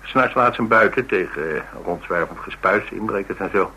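A man's voice: on the low side, normal speed, sharp tone, breathy edge, full character voice, poor audio. An actor playing a rugged, blunt man, telling of his exploits in a wry, colloquial radio drama style. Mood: musing, confiding. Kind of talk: Dutch radio drama dialogue, conversational storytelling.

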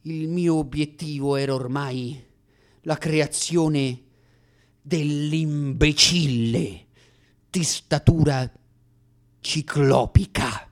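A man playing a boastful, absurdist old-time Italian variety-theatre comedian in a declamatory, mock-solemn radio monologue. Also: fairly high pitch. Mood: grandiose. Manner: declamatory, grandiose, mock-solemn, playing with drawn-out and rattled phrases. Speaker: stage actor.

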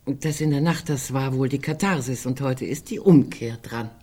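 An actress playing a caustic, morbid elderly sister in a radio play, speaking dryly and deliberately. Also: female voice, extremely low pitch, warm tone, slightly rough. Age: older. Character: sharp-tongued, dry, morbid elderly sister Greta. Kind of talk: naturalistic radio play acting.

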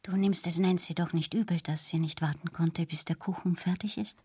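An actress playing a frail, withdrawn middle-aged mother in a radio drama, speaking softly, wistfully and hesitantly. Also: fairly low pitch, fairly even intonation, normal speed, loud music behind the voice, close to an everyday voice. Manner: soft, wistful, hesitant, near whispering at times.

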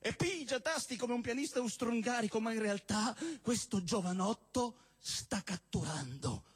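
A man performing satirical spoken-word poetry on stage in an emphatic, declamatory manner, stretching words and breaking into shouts. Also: extremely high pitch, animated, moderate pace, slightly rough. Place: live stage at a cultural venue event.

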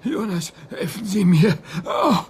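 A cowardly old media boss in a radio drama. His voice is very high and swoops wildly as he wails with indignation. He drags his words out, pompous even in self-pity. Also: a little slow, slightly rough, full character voice. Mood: wailing, panicked. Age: older. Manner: wailing, indignant. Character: pompous old media tycoon, whining and cowardly when beaten.

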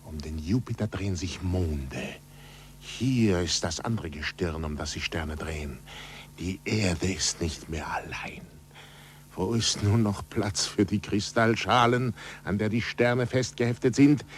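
An actor playing a passionate, stubborn astronomer in a fervent, declamatory 1940s German radio play style. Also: male voice, deep voice, unhurried. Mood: fervent, convinced. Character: passionate, stubborn male astronomer championing proof and reason. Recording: noisy, humming 1940s radio recording.

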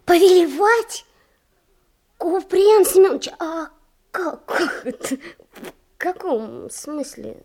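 A woman's voice: extremely high pitch, lively intonation, extremely slow, pauses often, darkish tone, full character voice. An actress playing a timid, curious young schoolgirl in a radio play, speaking hesitantly and deferentially. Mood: timid curiosity.

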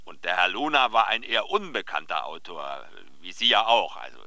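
Pompous, wildly animated crime editor. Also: male voice, sits low, brisk, no room sound, heavily acted. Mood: condescending. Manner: theatrical, condescending, swooping emphasis. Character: pompous, excitable male crime publishing editor. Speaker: actor in radio drama. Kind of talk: German radio play dialogue.

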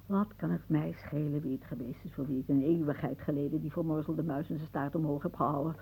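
An actress playing a whimsical, dreamy elderly aunt in a radio play, musing theatrically and drifting into hushed asides. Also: female voice, deep voice, steady tone, fairly quick, vocal fry, husky, exaggerated voice, poor audio. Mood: wry, reminiscing.

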